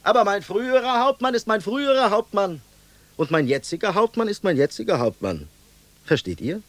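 Radio play sentry, strikingly high voice, careful and pedantic, dodging responsibility, very dry acoustic. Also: male voice, expressive, brisk, dry recording. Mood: guarded, matter-of-fact. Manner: pedantic, evasive, repetitive. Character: cautious, obedient male soldier on guard duty.

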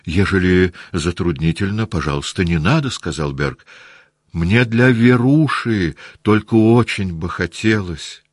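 A smooth, fawning young officer asking favors in a slightly raised voice, as a male reader puts him on. Close and dry. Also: deep voice, measured pace, no echo. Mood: ingratiating. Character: polite young officer, ingratiating.

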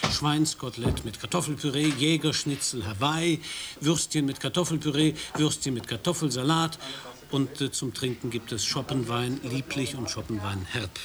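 A man's voice: mid-range voice, noisy background, natural voice. An actor playing an ironic American roving radio reporter who narrates his trip through a village, in a radio play.

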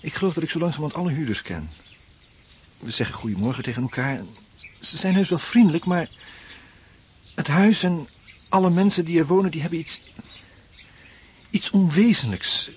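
An actor playing a troubled, anxious tenant in a radio drama, earnest and hesitant. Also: male voice, fairly high pitch, expressive, normal speed, pauses often, a little mellow, slight background noise, lightly acted. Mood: uneasy. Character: troubled male tenant, anxious, convinced the house is wrong. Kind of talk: Dutch radio drama acting.

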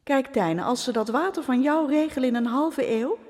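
An actress playing a talkative, outspoken minister's wife with a breezy manner. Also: female voice, sits high, moderate pace, a little mellow. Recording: reverberant room.

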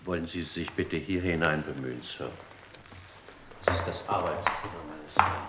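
An actor playing a gaunt, older English manservant in a radio crime drama, formal, precise and deferential. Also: male voice, extremely low pitch, moderate pace. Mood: subdued, formal. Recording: old radio recording, noisy background.

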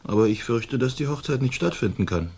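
A man's voice: medium pitch, lively intonation, brisk, a little mellow, husky. An actor in a German radio play, playing a languid, dutiful young nobleman who talks in a formal, plaintive way. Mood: reluctant. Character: languid young nobleman, peace-loving, dutiful, weary son.

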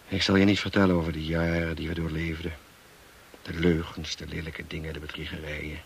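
A man acting a tormented, bitter husband in a Dutch radio play, speaking gravely with dramatic pauses. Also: low voice, a bit bright. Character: tormented, bitter husband confessing to his young wife. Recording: noisy old radio play recording.

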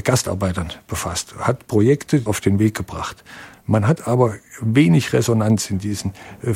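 A man's voice: mid-range voice, gravelly, darkish tone, slightly rough, no room sound. A man speaking as a foundation executive in a reflective interview, explaining things matter-of-factly.

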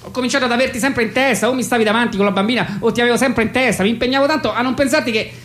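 A woman playing an exasperated partner confessing unwanted love in a colloquial, halting radio reading, often repeating herself. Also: a little high, fairly bright tone, lightly acted. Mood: pained confession. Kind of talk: staged radio reading, conversational dialogue.

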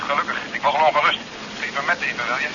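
A commanding general, acted by a man, talks extremely fast in clipped, insistent bursts. His voice is a little high, and the 1970s radio drama sound is dull with heavy background noise. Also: natural voice. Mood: urgent. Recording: dull, noisy radio-link sound, engine drone.

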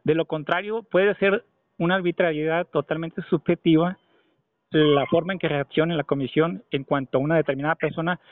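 A man's voice: fairly high pitch, steady tone, moderate pace, a little hiss or hum. A man on a phone call, arguing insistently and at length with an official. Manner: insistent, argumentative, long-winded. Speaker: caller. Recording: tinny phone line.

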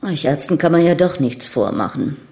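An actress playing a brash, world-weary young bride-to-be who is coquettish, blunt and provocative, in a radio crime drama. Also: female voice, low-pitched, lively intonation, moderate pace, dark tone. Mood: bitter, weary. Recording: studio radio drama with music underneath.

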